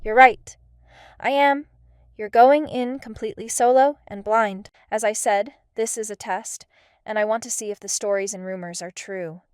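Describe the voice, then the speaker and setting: A woman's voice: medium pitch, fairly even intonation, normal speed, smooth, no echo, clean studio sound, lightly acted. A woman playing a composed, probing intelligence handler in an amateur radio drama, direct and businesslike. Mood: businesslike, testing.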